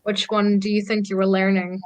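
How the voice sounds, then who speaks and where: A woman's voice: medium pitch, little pitch movement, fairly quick. Scottish woman, native Gaelic speaker, cheerful guest, laughs easily, keen to explain words. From Scotland. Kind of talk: casual video call chat.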